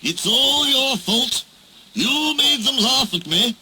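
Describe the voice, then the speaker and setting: A man's voice: very high-pitched, animated, takes it slowly, very noisy, voice pushed up, heavily acted. A man voicing a sly, scheming male diesel engine that whines and speaks in an oily voice. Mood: furious. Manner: scheming, whining.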